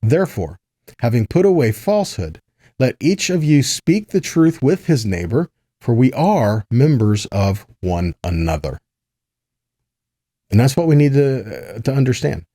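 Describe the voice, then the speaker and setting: A man's voice: mid-range voice, very animated, a little slow, warm tone, no room sound, clean studio sound. A man teaching a weekly Bible lesson in a conversational way, reading scripture passages aloud and checking in with his listeners. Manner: conversational, checks in with "right?" and "see that?". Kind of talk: weekly Torah portion teaching.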